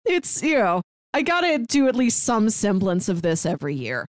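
A woman's voice: medium pitch, big pitch swings, normal speed, no echo, clean studio sound. An American woman co-hosting a podcast in a casual recap chat, informal and enthusiastic, sprinkling in "like" often. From St. Louis, United States. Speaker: podcast co-host.

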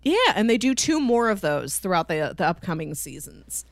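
A woman co-hosting a podcast in casual chat, telling stories in an animated way and acting out quoted dialogue. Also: lowish voice, normal speed, no room sound, clean studio sound. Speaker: podcast co-host. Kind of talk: casual chat about a TV cartoon.

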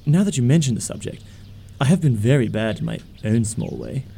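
Man acting a husky, creaky young dandy. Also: mid-range voice, animated, a little fast, warm tone. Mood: flippant.

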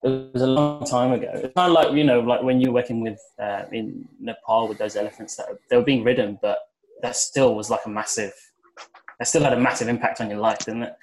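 A man's voice: medium pitch, steady tone, brisk, soft background music, low-quality recording. A man in casual discussion, working out his opinion aloud with hesitations and repeated phrases.

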